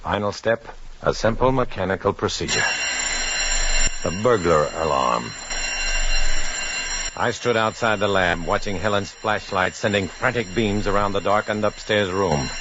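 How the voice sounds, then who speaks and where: An actor playing a grim, lonely, hard-boiled undercover agent in a 1950s radio drama. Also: male voice, lowish voice, expressive, unhurried. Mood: tense, brooding. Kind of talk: 1950s radio drama acting, first-person narration. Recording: muffled, noisy 1950s radio recording.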